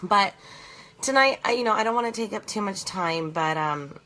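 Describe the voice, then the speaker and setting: A slightly hoarse woman in her thirties, chatting earnestly on a live stream from her bed at home, rambling with many fillers and restarts. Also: medium pitch, lively intonation, moderate pace, bright, forceful tone, slight background noise, poor audio. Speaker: host of a live video challenge. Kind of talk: casual chat on a live stream.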